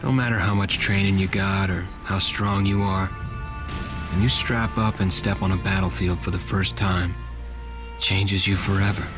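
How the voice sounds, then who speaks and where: A man's voice: low voice, steady tone, moderate pace, natural voice. An actor playing a grave, world-weary Marine veteran who reflectively narrates his war in a game cutscene. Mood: somber. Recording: loud music under the voice.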